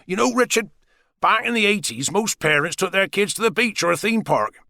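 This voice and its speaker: A man's voice: high voice, lively intonation, a little fast, creaky, pushed and bright, no echo, clean studio sound, pitched above the performer's own voice, full character voice. A man narrating an audiobook, voicing a middle-aged father who chats breezily about his past in a raised voice. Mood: chatty.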